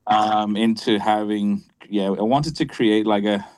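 A man with a lowish voice, a teacher answering questions in a casual chat. He talks haltingly, filling gaps with "um" and "uh" and restarting his sentences. The sound is dry. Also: fairly even intonation, darkish tone, no room sound, poor audio. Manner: hesitant, many fillers and restarts. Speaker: Bible college teacher.

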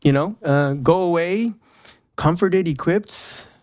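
A man's voice: fairly high pitch, slow pace, darkish tone, no room sound. A man from Southern California hosting a casual radio show in a studio, playful and teasing his guests.

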